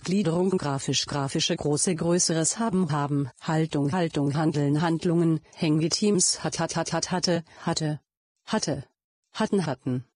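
Synthetic female reader, husky, dry, mechanical, repetitive, detached word-by-word list reading. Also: sits low, a little slow, darkish tone, dry recording. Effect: synthetic text-to-speech reader voice. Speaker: reader of word lists in a sound installation.

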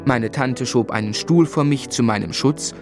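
An actor playing a reflective storyteller who recalls his childhood, in measured literary narration for a radio drama. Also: mid-range voice, over music, lightly acted. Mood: reflective, composed.

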